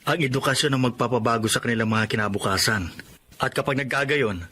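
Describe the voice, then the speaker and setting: Man acting keen young village teacher guy, forceful bright voice, earnest, filipino radio drama, faint noise. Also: medium pitch, quick pace, lightly acted. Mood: earnest, uplifted. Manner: earnest, enthusiastic. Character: Julian, enthusiastic idealistic young village teacher.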